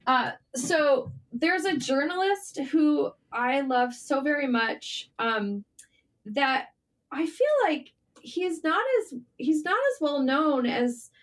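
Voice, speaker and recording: Female podcast guest, higher-pitched voice, informal interview storytelling with an animated conversational delivery. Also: slow. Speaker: podcast guest, writer and journalist. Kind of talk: casual interview chat.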